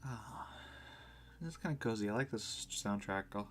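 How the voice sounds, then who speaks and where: A man's voice: on the low side, animated, normal speed, fairly bright tone, faint music behind. A man doing casual live let's play commentary, chatting informally and reading game text aloud as he reacts. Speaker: game streamer.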